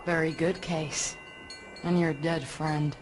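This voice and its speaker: A woman's voice: low-pitched, fairly even intonation, slow pace, slight background noise. An actress playing a tough, cool street samurai woman in a radio drama, talking in a clipped, wry way. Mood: cool, confiding.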